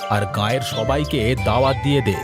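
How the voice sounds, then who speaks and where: A man's voice: mid-range voice, fairly quick, natural voice. A man voicing a genial but bossy village householder and elder brother in a cartoon story. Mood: pleased, commanding. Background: music.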